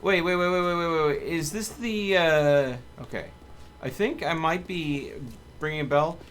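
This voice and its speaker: A man's voice: on the high side, moderate pace, a bit bright, smooth. A man chatting casually as a game streamer, with playful, joking asides. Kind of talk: casual gaming livestream chat.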